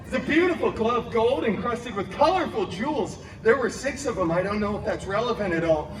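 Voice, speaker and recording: An actor playing a wisecracking, brash costumed superhero, telling a comic story live to a crowd with sarcastic asides. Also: male voice, sits high, animated, brisk, far from the mic, background noise. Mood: playful storytelling. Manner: sarcastic asides, exaggerated delivery. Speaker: theme park character performer telling stories to guests. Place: outdoor theme park show area. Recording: echoey PA outdoors, music and crowd.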